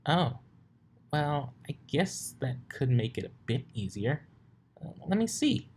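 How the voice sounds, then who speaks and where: A man's voice: medium pitch, sing-song, slow, husky, pitched above the performer's own voice, full character voice. A man voicing a helpful, bookish female unicorn scholar with an earnest, hesitant manner in a story reading. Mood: thoughtful.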